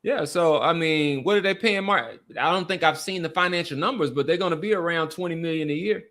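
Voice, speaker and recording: Higher-pitched man talking sports, emphatic. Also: a little fast, fairly bright tone. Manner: emphatic, repeats phrases. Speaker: sports talk podcast host. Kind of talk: casual sports discussion.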